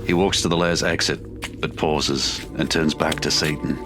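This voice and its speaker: Male narrator for audio drama, extremely deep voice, scripted scene-setting over music. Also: fairly even intonation, measured pace.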